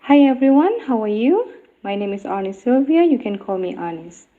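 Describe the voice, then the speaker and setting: A woman from Indonesia reading a slow, careful self-introduction as a tutor. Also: fairly high pitch, sing-song. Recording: dull, lo-fi recording.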